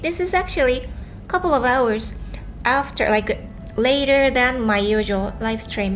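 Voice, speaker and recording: A woman from Japan with a Japanese accent, chatting casually on a live stream from home, talking fast and earnestly with halting restarts as she reads and answers viewer comments. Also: fairly high pitch, fairly bright tone.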